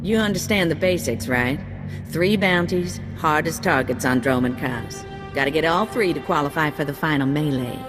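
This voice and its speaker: A drawling, folksy bounty handler, voiced by a woman talks low and easygoing, full of slang. Game music plays under her briefing in this video game cutscene. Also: moderate pace, lightly acted.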